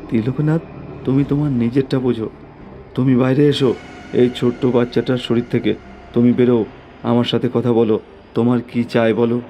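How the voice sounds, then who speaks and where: A man's voice: mid-range voice, level tone, normal speed, pauses often, slight background noise, natural voice. A man voicing a commanding male exorcist who challenges spirits in a horror cartoon story, with insistent delivery. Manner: forceful commands and repeated questions.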